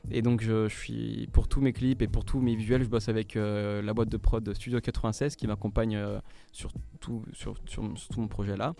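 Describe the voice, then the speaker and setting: A man's voice: fairly low pitch, flat delivery, moderate pace, vocal fry, mellow. A young man from French Guiana, a musician guest on a radio interview, talking hesitantly with many fillers and restarts.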